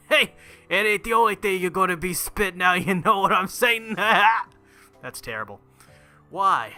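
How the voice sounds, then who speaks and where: A man with a very bright, forceful voice reads game text aloud expressively and drops into casual, joking asides. His pitch sits a little high, and faint music plays behind him. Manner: casual, joking asides between dramatic readings. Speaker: gaming video creator reading a visual novel aloud.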